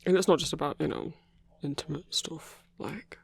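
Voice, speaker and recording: A grumpy yet tender werewolf boyfriend, played by a man for an intimate ASMR roleplay. The voice sits a little high for a male but is thick with gravelly creak. It is recorded close and dry, murmuring in halting, sweary phrases. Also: no echo. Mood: earnest, hesitant. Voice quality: gravelly, husky, breathy. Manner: halting, sweary, hushed rambling with sighs.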